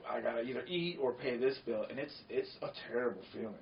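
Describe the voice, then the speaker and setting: A man's voice: medium pitch, quick pace. A man with a nasal Michigan accent, chatting earnestly as a podcast guest. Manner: earnest, conversational, frequent agreeing. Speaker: podcast guest, wellness center owner. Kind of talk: casual chat. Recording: distant, dull lo-fi sound with faint noise.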